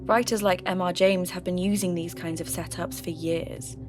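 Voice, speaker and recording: A woman playing a sceptical, intrigued local radio host who investigates ghost stories in measured, scripted podcast narration. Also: on the low side, steady tone, close to an everyday voice. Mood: sceptical, reflective. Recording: music bed under voice.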